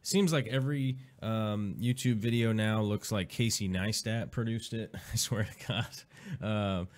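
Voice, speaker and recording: A man with a lowish voice hosts a relaxed live stream from the Pacific Northwest. He rambles along loosely, full of ums and uhs and restarted sentences. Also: measured pace. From Pacific Northwest, USA. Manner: rambling, many fillers and restarts. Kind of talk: casual live stream chat.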